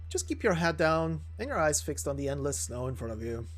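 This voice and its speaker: A man's voice: on the high side, big pitch swings, fairly quick, faint music behind. A man voicing wary soldiers on a frozen border patrol in a game story, with terse, questioning military talk. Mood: grumbling.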